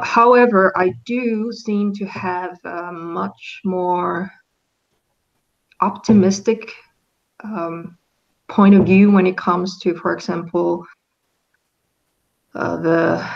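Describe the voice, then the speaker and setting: A woman's voice: on the low side, fairly even intonation, slow pace, gravelly, pauses often, dull audio. A woman from Finland, a guest in a casual conversation, talking thoughtfully with frequent hesitations and restarts. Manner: hesitant, restarts often, reflective. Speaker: interview guest.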